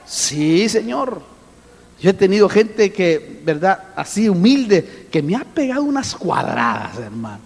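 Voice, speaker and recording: A man preaching a sermon with an animated manner, often calling out to his listeners as brother. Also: sits high, unhurried, breathy edge, in a large hall. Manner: animated, addresses listeners as brother, acts out voices. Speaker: apostle preaching.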